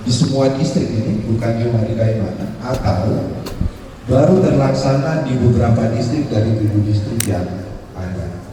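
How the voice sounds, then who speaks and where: A man's voice: mid-range voice, expressive, normal speed, noisy background. A man on a judging panel, asking formal questions and explaining step by step how the score is counted. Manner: methodical, explains scoring step by step. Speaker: competition judge asking questions. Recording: echoey hall, mic far off.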